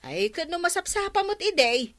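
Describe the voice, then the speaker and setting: A woman's voice: very high voice, big pitch swings, moderate pace, a bit bright, heavily acted. An actress playing a sly, teasing village neighbour woman in an Ilocano radio comedy, with an Ilocano accent.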